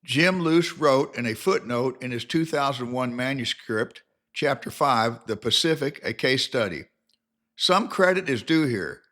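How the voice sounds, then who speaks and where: Older male narrator, mid-pitched voice, formal careful delivery, scripted biographical reading. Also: lively intonation, measured pace, a bit bright. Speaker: documentary narrator.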